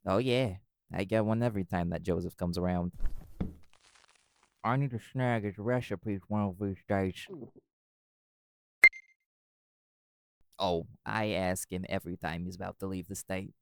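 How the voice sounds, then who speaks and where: A man's voice: deep voice, steady tone, normal speed, creaky, heavy background noise. A man playing a cool, wry, flirty magical private eye in an intimate audio roleplay, teasing dryly and swearing casually. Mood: relaxed, wry.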